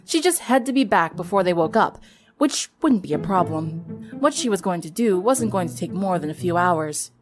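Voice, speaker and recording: A woman's voice: fairly low pitch, lively intonation, normal speed. A woman reading a story aloud as scripted dramatic narration. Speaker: fan fiction narrator. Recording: music under the voice. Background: music.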